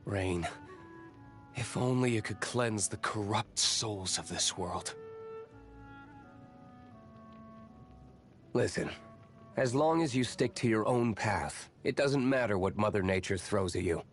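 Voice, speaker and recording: An actor playing a brooding, aloof young nobleman vigilante in a video game, with a terse, guarded, measured manner. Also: on the low side, soft background music, close to an everyday voice. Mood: cool, grave. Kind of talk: scripted video game character lines.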